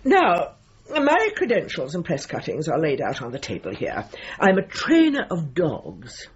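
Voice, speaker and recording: An actress playing a grand, self-assured dog trainer in a radio comedy drama, with imperious, precise, commanding delivery and a husky voice. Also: female voice, low-pitched, huge pitch swings, unhurried, muffled recording, exaggerated voice. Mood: grand, brisk. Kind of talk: comic radio drama acting.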